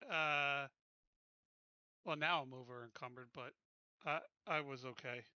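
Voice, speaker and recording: A man's voice: medium pitch, steady tone, measured pace, sharp tone, clear, resonant tone, dry recording, muffled recording. A man chatting casually as a player in a tabletop roleplaying game.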